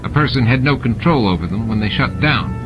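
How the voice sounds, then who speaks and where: A man's voice: medium pitch, expressive, a little fast, muffled recording. A man narrating fiction from a script as an audiobook reader. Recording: music and sound effects behind the voice. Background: added music and sound effects.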